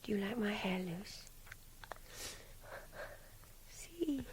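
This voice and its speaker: An actress playing an articulate young captive in a radio drama, earnest and emotionally direct with a breathy voice. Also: female voice, medium pitch, expressive, very slow, exaggerated voice. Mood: hushed, coaxing. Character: captive young woman, articulate, pleading, frustrated. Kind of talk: intimate radio drama dialogue.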